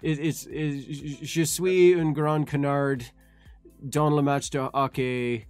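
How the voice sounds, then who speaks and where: A middle-aged man from Canada, chatting casually and warmly on a call, with many fillers and restarts. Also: fairly high pitch, fairly even intonation, slow pace, smooth, faint music behind. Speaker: caller in a language exchange chat. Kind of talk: casual chat.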